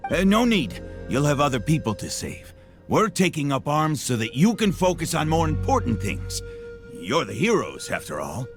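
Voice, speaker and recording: Modest old volunteer, swooping pitch. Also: male voice, huge pitch swings, moderate pace, over music. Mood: resolute. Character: modest but willing older man, former pilgrimage fighter. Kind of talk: video game voice acting.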